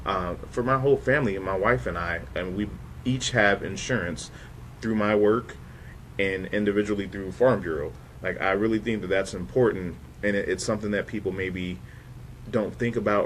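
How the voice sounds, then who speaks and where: A man's voice: deep voice, fairly bright tone, slight background noise, rough recording. A man in his thirties hosting a casual interview, chatting in an informal, conversational way. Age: 30s. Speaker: show host.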